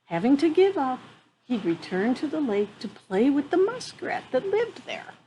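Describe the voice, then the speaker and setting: A woman's voice: high voice, moderate pace, low-quality recording. A woman in her fifties reading a picture book aloud to children outdoors, with amused, chatty asides. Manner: animated, amused, exclaiming. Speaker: children's storyteller. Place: outdoors on a wooden deck among trees. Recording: faint outdoor ambient noise.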